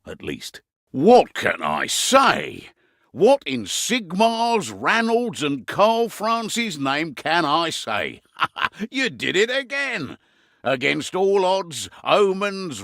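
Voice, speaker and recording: A gruff fantasy mercenary quartermaster handing out missions in a video game. His pitch sits mid-range but moves freely and expressively. He is earthy, commanding and darkly funny, recorded very dry with no room sound. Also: no echo, full character voice. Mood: grim, wry, then elated. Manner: wry, earthy, commanding storyteller with dark humour. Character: gruff, wry male mercenary quartermaster briefing his fighters.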